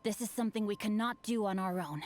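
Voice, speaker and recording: A woman voicing a refined, bookish teenage boy swordsman in a video game, speaking in a formal, flowery, high-minded way. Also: fast talker, natural voice. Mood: assured.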